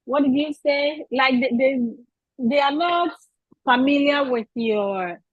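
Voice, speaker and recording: A woman's voice: high-pitched, takes it slowly, no echo. A woman with a Ghanaian accent asking an earnest, polite question from the floor. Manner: earnest and polite, with many restarts. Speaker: audience member asking a question. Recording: dry, lo-fi audio.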